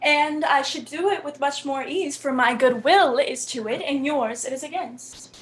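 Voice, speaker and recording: Woman playing lovestruck young maiden, high pitch, modest, earnest, tender, theatrical Shakespearean verse delivery. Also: measured pace. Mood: concerned, pleading. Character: innocent, devoted, lovestruck young maiden, modest yet forthright. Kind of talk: Shakespearean stage acting.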